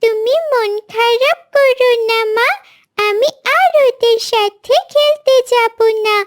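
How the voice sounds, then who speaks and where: A woman's voice: very high-pitched, slow. A woman voicing an innocent, lonely little girl bird in a children's cartoon, with a plaintive, childlike way of talking. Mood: sad, plaintive.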